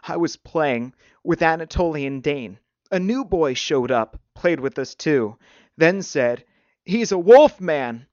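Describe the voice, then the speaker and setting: A man's voice: on the high side, lively intonation, normal speed, darkish tone, no room sound, dull audio, voice pushed up, close to an everyday voice. A man voicing a big, confident young boy in a storybook reading. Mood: frightened, plaintive. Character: big confident boy, frightened at home, sneering bully.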